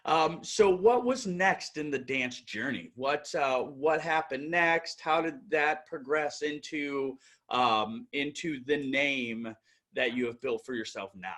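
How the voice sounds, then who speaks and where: A man hosting a casual interview, with a voice of middle pitch. He asks questions eagerly, rephrasing them with fillers as he goes. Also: takes it slowly, a bit bright. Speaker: interview host.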